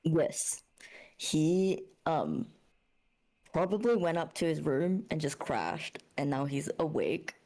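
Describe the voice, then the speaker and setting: A woman's voice: low voice, animated, slow, dark tone. A woman playing a tabletop role-playing game, casually describing what her character does.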